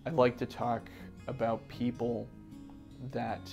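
A man's voice: medium pitch, monotone, slow pace, music in the background. A young man from Connecticut, an environmental justice organizer, answering interview questions earnestly with frequent restarts and fillers. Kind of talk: sit-down interview. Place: office gallery room.

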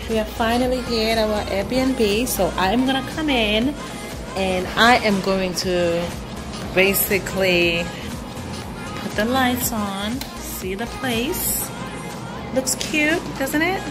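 A young woman chatting casually to viewers as a travel vlogger, unhurried and repeating her phrases. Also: on the high side, over music. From South Africa. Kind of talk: casual vlog chat to viewers. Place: indoors in a rental home.

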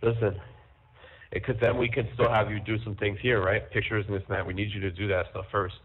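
A man in an intimate, casual phone call, talking haltingly and repeating his phrases, on a tinny jail phone line. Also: on the low side, flat delivery, slightly rough. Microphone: telephone handset.

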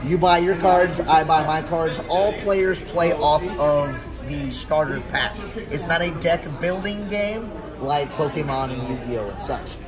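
A man's voice: a little high, unhurried, a crowd in the background. A middle-aged man giving a casual, explanatory demo as a game creator at a table in a busy indoor venue. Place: busy indoor convention hall.

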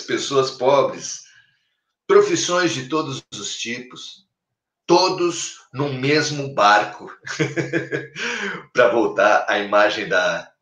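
A man in his fifties with a Brazilian accent, giving a spiritual talk on a live video call with a preacher's cadence. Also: medium pitch. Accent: Brazilian Portuguese. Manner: expressive, gestures widely, preacherly cadence. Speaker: spiritist speaker. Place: home room, indoors. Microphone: webcam on a video call. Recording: echoey room.

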